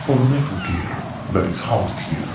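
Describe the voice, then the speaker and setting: An actor playing a mysterious cyborg ninja informant in a video game, cool, terse and cryptic, with a measured delivery. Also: male voice, fairly low pitch, lively intonation, creaky, echoey room, very noisy. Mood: cryptic, grave. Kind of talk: video game cutscene voice acting.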